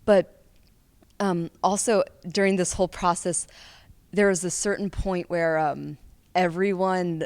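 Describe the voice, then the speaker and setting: Student actor interviewee: young woman, slightly low pitch, informal, animated, talkative. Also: unhurried, clean tone. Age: young adult. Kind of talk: casual interview chat.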